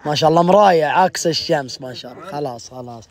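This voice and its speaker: A man with a fairly high voice jokes and needles his friends at a desert picnic. He speaks into a phone he holds himself, in the open air, and his talk is playful and provoking. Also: very fast. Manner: playful, provoking, bursts into high laughing shouts. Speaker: vlogger filming friends. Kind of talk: casual teasing banter. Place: outdoor desert camp picnic. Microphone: phone held by the speaker.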